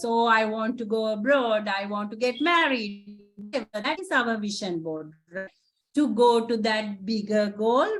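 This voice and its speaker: A woman's voice: on the high side, a bit bright. A woman from Sri Lanka with a Sri Lankan accent, a Sinhala native speaker, giving an encouraging lecture as a psychotherapist and often tagging her points with "right?". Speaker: psychotherapist presenter. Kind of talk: lecture presentation to students.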